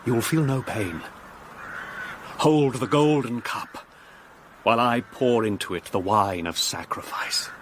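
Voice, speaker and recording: An actor playing a grandiose, fanatical murderer in a radio play, speaking in solemn, incantatory phrases. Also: male voice, medium pitch, slow pace, pauses often, a bit bright, slight background noise. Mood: ritual, hypnotic. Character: grandiose, fanatical murderer luring his child victim.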